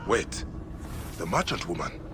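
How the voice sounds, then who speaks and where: A man's voice: sits low, sing-song, unhurried, background noise, full character voice. An actor playing a stern, dry avenger in a video game, terse and pointed in his questioning. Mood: stern, probing. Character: stern, dry, probing ancient Egyptian avenger.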